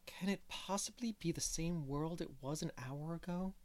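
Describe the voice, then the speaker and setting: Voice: mid-range voice, animated, moderate pace, lightly acted. A reader voicing a dazed, anxious young woman in an audiobook of a classic novel. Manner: soft, anxious.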